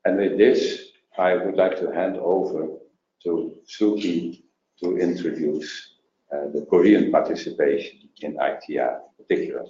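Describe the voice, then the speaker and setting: Low, mellow male presenter, hesitant, roomy dull recording. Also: fairly even intonation, a little hiss or hum. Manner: halting, many fillers and restarts. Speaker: webinar presenter. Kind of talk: presenting slides in a webinar.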